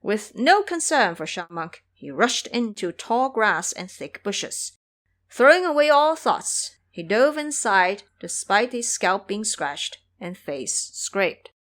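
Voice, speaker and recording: A woman's voice: medium pitch, sing-song, slow, fairly bright tone. A woman reading scripted storybook narration for an audio drama.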